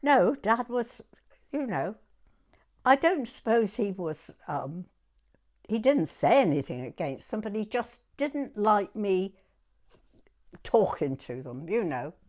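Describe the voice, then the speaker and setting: Woman in her nineties, southern English accent, slow pace, animated intonation, chatty and halting reminiscence. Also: medium pitch. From Dorset, England. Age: 90s. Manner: chatty, halting, peppered with "you know". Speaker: oral history interviewee. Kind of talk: informal reminiscence in an interview.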